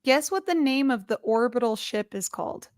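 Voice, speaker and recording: A woman talks casually as a panelist on a livestream. Her voice sits a little high and sounds very dry and close. She is emphatic and slangy, with a conspiratorial way of explaining things. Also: animated, moderate pace, no room sound. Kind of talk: casual chat.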